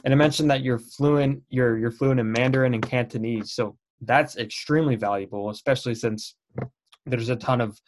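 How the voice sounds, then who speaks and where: Young male interviewer, relaxed and halting, mid-range voice. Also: medium pitch. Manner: friendly, hesitant, repeats words. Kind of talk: casual interview.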